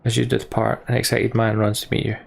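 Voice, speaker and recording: A man's voice: lowish voice, monotone, a little fast, creaky. A man hosting a let's play, reading game text aloud and commenting on his choices.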